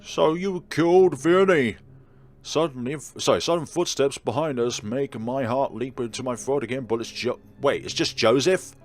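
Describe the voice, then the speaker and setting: A man's voice: medium pitch, normal speed. A man narrating and commenting on a game as he plays it. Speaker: game streamer. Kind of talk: let's play commentary and read-aloud narration.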